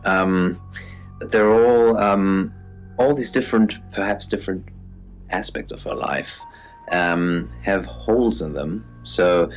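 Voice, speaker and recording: An actor playing an eerie, theatrical male host of a spooky late-night radio show, speaking deliberately. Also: low-pitched. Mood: musing. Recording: dull lo-fi radio sound over music. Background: background music.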